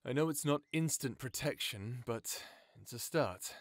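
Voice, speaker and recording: An actor playing a steady, protective man who leads a survivor camp, speaking calmly and reassuringly. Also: unhurried, dry recording, studio quality. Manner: calm, low and reassuring. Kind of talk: full-cast audiobook acting.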